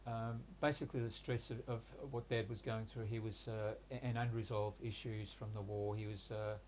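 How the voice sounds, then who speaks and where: Halting older man, flat even voice. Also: lowish voice, a little hiss or hum. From Hungary, raised in Australia. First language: Hungarian. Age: 60s. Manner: halting, hesitant, many pauses and restarts. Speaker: interviewee recounting his life. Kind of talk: reflective life-story interview.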